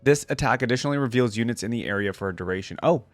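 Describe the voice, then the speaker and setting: A man's voice: mid-range voice, rapid-fire, dry recording. A man hosting a reaction video, commenting casually and thinking aloud as he goes.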